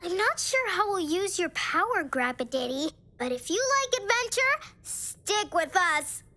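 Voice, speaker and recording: A woman's voice: extremely high pitch, big pitch swings, measured pace, sharp tone, heavily acted. An actor voicing a cheerful, brave young girl hero in a cartoon, with an upbeat, encouraging manner. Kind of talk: cartoon voice acting. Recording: music behind.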